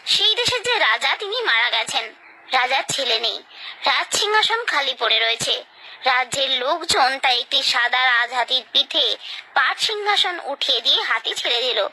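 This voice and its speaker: A woman's voice: high voice, sing-song, moderate pace, bright, forceful tone, rough recording. A woman narrating a scripted children's story, expressively and directly addressing her young listeners.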